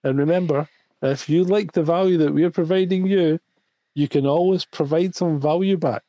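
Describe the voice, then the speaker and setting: Wry man with mellow voice, dull line. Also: a little high, normal speed, no room sound. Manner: dry, wry humour, laughs often. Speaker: podcast co-host. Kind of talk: casual live-stream chat.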